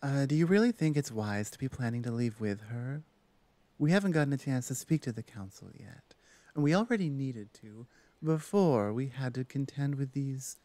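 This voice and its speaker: A man's voice: mid-range voice, mellow, no echo. A man playing a curious, self-important female orc shaman, an insistent sister in an ASMR roleplay drama. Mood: disapproving.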